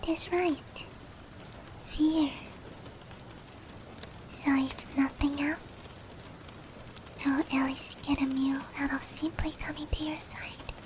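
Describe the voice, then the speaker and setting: A woman plays a gentle female demon who comforts and protects in an ASMR roleplay. The voice is high and whispered, with very little rise and fall. The delivery is slow, breathy and soothing, with rain falling behind it. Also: little pitch movement, rough recording. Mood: tender, reassuring.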